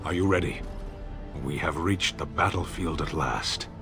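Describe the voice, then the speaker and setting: An actor playing a stern, loyal giant warrior in a video game, terse and solemn. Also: male voice, a bass voice, unhurried, loud music behind the voice.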